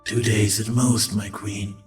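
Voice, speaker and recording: A man's voice: fairly low pitch, level tone, slow, soft background music. A man playing a loyal, resigned creature medic in an audio drama, solemn and deferential toward his queen. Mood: grave resignation.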